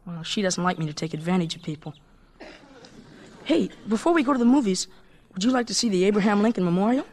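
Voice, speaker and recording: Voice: mid-range voice, expressive, fast, darkish tone. A performer playing an eager, candid American schoolboy with an artless, gee-whiz manner in a radio drama. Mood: eager, curious.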